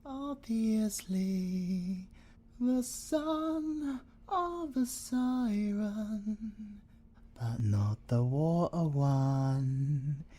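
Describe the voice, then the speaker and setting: A man's voice: sits high, lively intonation, dark tone, full character voice. A British man playing a playful, possessive, seductive siren villain in a theatrical ASMR audio roleplay. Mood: boastful, flirtatious. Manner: teasing, theatrical, drawn-out delivery with drops into whispering.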